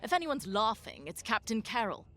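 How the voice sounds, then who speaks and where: An actress playing a cool, scornful Imperial fighter pilot in scripted game dialogue. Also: female voice, a little high, sing-song, normal speed, fairly bright tone. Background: music.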